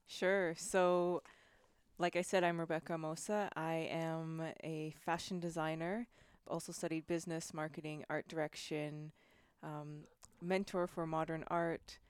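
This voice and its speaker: A woman co-hosts a podcast in a slightly low, smooth and resonant voice. She talks in an easygoing way and giggles often. The sound is dry and close. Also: little pitch movement, a little slow, no room sound. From Canada. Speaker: podcast co-host. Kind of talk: casual podcast chat.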